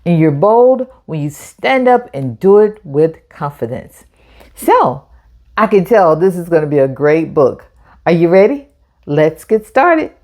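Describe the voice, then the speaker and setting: Woman reading slowly and expressively to children. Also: fairly low pitch, sing-song, darkish tone. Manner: encouraging, addresses children directly, deliberate phrasing. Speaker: storytime host. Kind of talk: children's read-aloud narration.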